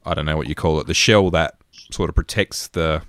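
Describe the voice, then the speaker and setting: A man's voice: a bass voice, steady tone, fairly quick, fairly bright tone, dry recording, studio quality. A man co-hosting a gaming podcast, explaining things in a casual, matter-of-fact way. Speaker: podcast co-host.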